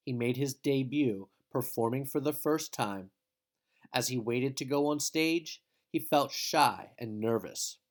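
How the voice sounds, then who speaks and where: A man reading a children's picture book aloud in an expressive, dramatic storytelling style. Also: mid-range voice. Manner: dramatic, with emphatic exclamations.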